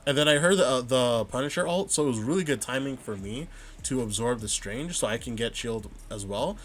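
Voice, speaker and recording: A man calmly breaking down his own match footage, thinking aloud and stopping to rewind and check his plays. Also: mid-range voice, fairly quick, heavy background noise. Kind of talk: casual vod review commentary.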